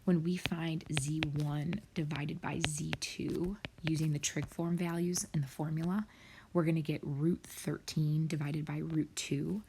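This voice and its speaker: A woman's voice: low-pitched, steady tone, measured pace, background noise. A woman math teacher talking students through problems step by step in a recorded screen lesson, often dropping to a near whisper.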